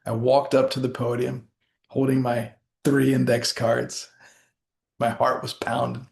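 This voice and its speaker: A man giving a rehearsed motivational speech as a storyteller, speaking deliberately in short phrases with dramatic pauses. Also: mid-range voice, flat delivery, creaky, a little mellow, a little hiss or hum.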